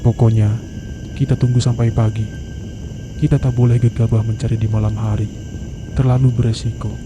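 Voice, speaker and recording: Friendly young male mountain guide, acted by a man, reassuring, mid-pitched, for horror animation; clear outdoor insect noise. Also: level tone, brisk, lightly acted. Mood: calm, reassuring. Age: young adult. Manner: reassuring, advising. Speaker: volunteer mountain guide character. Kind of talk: animated horror story dialogue.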